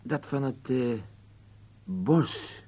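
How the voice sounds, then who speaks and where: An actor playing a stubborn, bitter old husband in a radio play, with a blunt, contrary, grumbling manner. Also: male voice, medium pitch, expressive, drawn-out words, mellow. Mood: irritated, contrary. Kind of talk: dialogue in an absurdist radio play.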